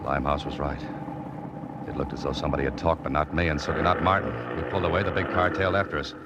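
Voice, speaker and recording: A man's voice: a bass voice, fairly even intonation, racing, heavy background noise. An actor with an American accent playing a cool, wry, tough adventurer who narrates his exploits in terse slang in a radio mystery. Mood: wry, hardboiled. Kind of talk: 1940s radio mystery acting, hardboiled narration. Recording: old radio recording, music behind, muffled.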